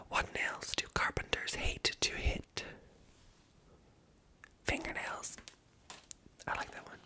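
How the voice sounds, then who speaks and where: A woman whispering softly as she reads jokes aloud and adds wry, self-mocking asides, stumbling over words now and then. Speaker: ASMR creator. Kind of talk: whispered ASMR commentary.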